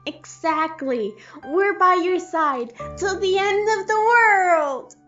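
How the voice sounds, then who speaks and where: A woman's voice: extremely high pitch, takes it slowly, a bit bright, muffled recording, faint music behind, full character voice. A woman playing an upbeat, goofy young friend in an audio drama, casual, jokey and encouraging. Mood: loyal. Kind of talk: amateur audio drama acting.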